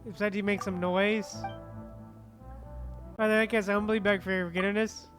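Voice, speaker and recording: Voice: mid-range voice, little pitch movement, brisk. A streamer giving casual, slangy, unscripted gameplay commentary, muttering reactions and reading text aloud offhandedly. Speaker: streamer playing a game. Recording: loud game music behind the voice.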